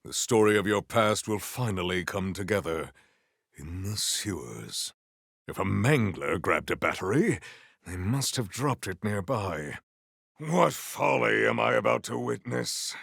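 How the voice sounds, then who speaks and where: A man voicing a stoic, honourable warrior in a video game, speaking in formal, stately phrases with a gravelly voice. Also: on the low side, expressive, a bit bright, no room sound, studio quality, heavily acted. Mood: solemn. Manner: formal, stately, measured diction.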